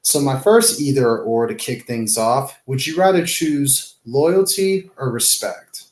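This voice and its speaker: A man's voice: mid-range voice, expressive, a little slow. A man hosting a podcast interview, upbeat and affirming, often repeating his phrases. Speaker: podcast host. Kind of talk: casual interview. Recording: echoey room.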